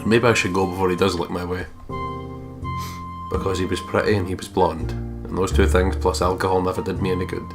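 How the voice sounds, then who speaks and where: Low-voiced young Scottish man, sweary gamer. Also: flat delivery, fairly quick. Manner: sweary, exuberant, joking. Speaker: let's play co-host. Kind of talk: reading game text aloud with casual commentary. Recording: music behind the voice.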